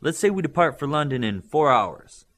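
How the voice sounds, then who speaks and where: A man's voice: on the high side, sing-song, moderate pace, fairly bright tone, voice pushed up. A man reading an audiobook, voicing a friendly male coach in charge of a team.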